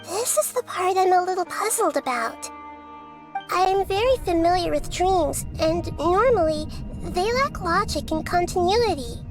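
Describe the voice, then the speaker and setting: A small, childlike goddess who reasons calmly and with curiosity. Her voice is very high, soft and measured. Faint game music sits underneath. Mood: pondering. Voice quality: soft, measured, gently analytical. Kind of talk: video game dialogue. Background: game soundtrack music.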